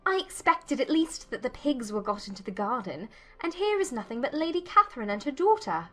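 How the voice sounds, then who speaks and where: A woman playing a witty, outspoken young gentlewoman in a dramatized audiobook, arch and dryly mocking. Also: high voice, sing-song, brisk, faint music behind, voice pushed up. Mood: unimpressed, incredulous. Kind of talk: dramatized audiobook character acting.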